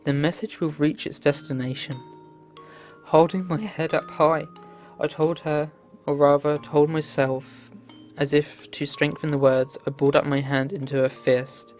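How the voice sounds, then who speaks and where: A man's voice: on the high side, flat delivery, measured pace, a little mellow. A man reading visual novel text aloud in an earnest, theatrical way as a game playthrough host. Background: game music.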